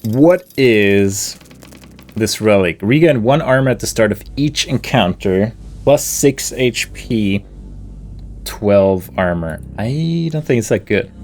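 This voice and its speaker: A man's voice: on the low side, darkish tone. A man casually commenting on his own gameplay, thinking aloud in a laid-back way and chuckling now and then. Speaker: gameplay video host. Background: game music.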